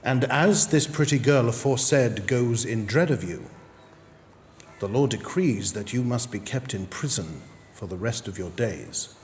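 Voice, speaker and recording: An actor playing a courteous, formal English magistrate handing down judgement in measured period-drama speech. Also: male voice, medium pitch, lively intonation, a little mellow, lightly acted. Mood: solemn, stern. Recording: long hall reverb, background noise.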